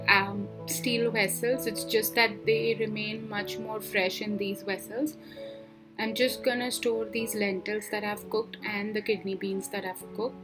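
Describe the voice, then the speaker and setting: A young woman with a fairly high voice walks through home meal prep step by step, calm and plain. She talks as a voice-over, and clear background music plays under her. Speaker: cooking vlogger. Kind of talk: instructional cooking voice-over. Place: home kitchen, indoors.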